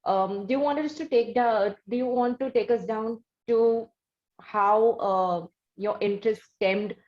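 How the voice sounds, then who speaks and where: A woman with an Indian accent hosting an interview, putting questions in a halting, roundabout way. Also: on the high side. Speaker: interviewer and show host. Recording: dull, muffled lo-fi audio.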